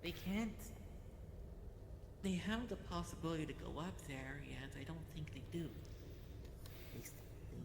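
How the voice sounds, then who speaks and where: A woman speaking improvised role-play dialogue in a halting, measured way, as if explaining something carefully. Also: deep voice, expressive. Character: earnest, diplomatic young queen. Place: indoor room, online voice chat. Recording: roomy, noisy amateur microphone.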